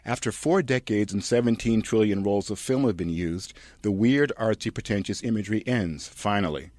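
A man's voice: lowish voice, moderate pace, a little mellow, dry recording. A man reading scripted comedic narration as a host, in a snarky manner. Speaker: host and reader.

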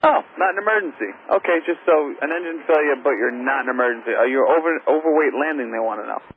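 A man's voice: on the high side, fast. An American man working as an air traffic controller, giving radio instructions. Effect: aviation radio. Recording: tinny narrow-band aviation radio, faint static.

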